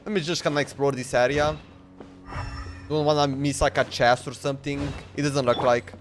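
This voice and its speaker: A man's voice: medium pitch, steady tone, moderate pace, noisy background. A man streaming a video game, thinking aloud in short, slangy, casual bursts. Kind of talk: casual live gameplay commentary. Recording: game music playing behind.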